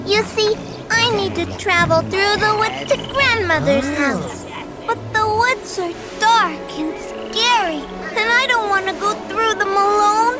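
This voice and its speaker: A woman's voice: very high-pitched, unhurried. An actress playing a sweet, wheedling fairy-tale little girl in a video game, coy and innocent, with music behind. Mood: sweet, pleading. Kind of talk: video game character acting.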